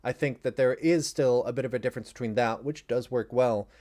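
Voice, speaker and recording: A man's voice: mid-range voice, a little fast, dark tone. A man on a commentary panel, talking off the cuff in an earnest, explanatory way. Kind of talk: unscripted commentary discussion.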